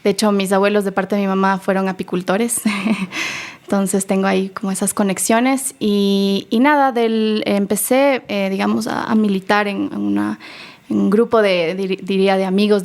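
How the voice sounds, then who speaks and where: Gravelly-voiced young woman. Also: mid-range voice, steady tone. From Ecuador. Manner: warm, halting, many fillers. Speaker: guest, activist for pollinators. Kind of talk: interview answers.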